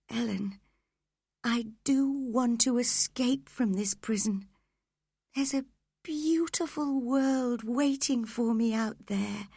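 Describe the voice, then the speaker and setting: A woman's voice: on the high side, a little mellow, slightly rough, no echo, studio quality, heavily acted. A woman playing a sobbing, dying young woman. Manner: sobbing, pleading. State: crying. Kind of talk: audiobook drama acting.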